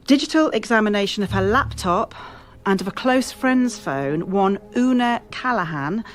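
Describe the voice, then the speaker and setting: Actress doing a lady police officer giving a formal briefing, radio drama, measured and official, in charge. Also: medium pitch, natural voice. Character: brisk, authoritative female police officer leading a case briefing.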